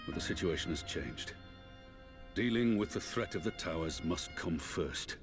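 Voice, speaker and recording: Deep, commanding male military leader. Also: expressive, normal speed. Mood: resolute. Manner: formal, authoritative. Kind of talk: fantasy video game voice acting. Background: orchestral game music with strings.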